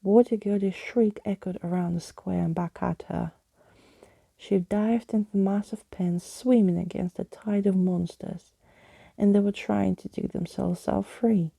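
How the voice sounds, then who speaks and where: A woman reading a storybook as soft ASMR narration, hushed, breathy and often whispering, close to the microphone. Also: mid-range voice, steady tone, measured pace, no echo. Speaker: ASMR reader.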